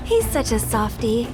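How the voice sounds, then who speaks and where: A woman's voice: fairly high pitch, full character voice. A woman playing a cheerful, affectionate young adventurer in a video game. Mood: teasing.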